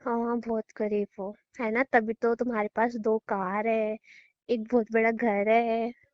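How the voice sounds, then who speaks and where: A woman's voice: on the high side, level tone, measured pace. A young woman bantering playfully with her boyfriend in a casual phone call. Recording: dull lo-fi call recording.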